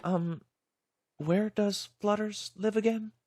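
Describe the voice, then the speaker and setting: A performer voicing an apologetic, flustered father pony in an animated fan drama, stammering and hesitant. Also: medium pitch, takes it slowly, no room sound, studio quality, faint music behind. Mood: sheepish.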